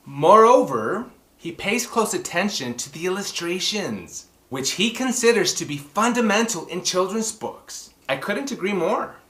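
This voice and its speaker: A man hosts a children's story time in a theatrical, exclamatory way, talking straight to his young audience. His pitch swings widely while he takes his time over the words. The room adds a noticeable echo around his voice. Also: big pitch swings, measured pace, bright, forceful tone. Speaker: host of a children's book club and story time. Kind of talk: animated children's book presenting and read-aloud. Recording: roomy echoing room sound.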